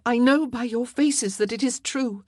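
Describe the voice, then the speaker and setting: A woman voicing an anxious, proud young queen in an audiobook, speaking in an earnest, measured way. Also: on the high side, darkish tone, no echo, clean studio sound, pitched above the performer's own voice. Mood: resigned. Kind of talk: audiobook character dialogue.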